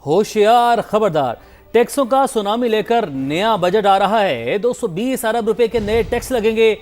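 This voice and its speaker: A man's voice: high voice, expressive, a little slow, darkish tone. A man with a Pakistani accent anchoring a TV bulletin, reading scripted news with emphatic headline delivery. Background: news music bed.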